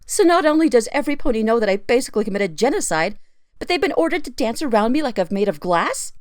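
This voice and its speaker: A woman's voice: on the high side, very animated, fast, no echo, studio quality. A woman playing a weary, guilt-ridden pony analyst in an audio drama, curt and bitterly sarcastic. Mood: bitter, indignant. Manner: sardonic, curt.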